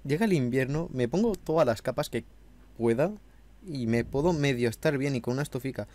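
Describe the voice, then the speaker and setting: A man's voice: medium pitch, lively intonation, brisk, darkish tone. Man with a cold, commentating a game. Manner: chatty, swears casually, talks to himself while playing. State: has a cold, throat a bit hoarse. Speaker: gameplay commentator. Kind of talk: casual gameplay commentary. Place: at home in a room.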